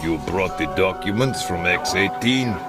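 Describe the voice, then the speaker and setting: An actor playing a shrewd, businesslike male trader who gives deliberate briefings in a video game. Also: low-pitched, animated. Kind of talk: scripted video game dialogue. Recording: loud music behind the voice.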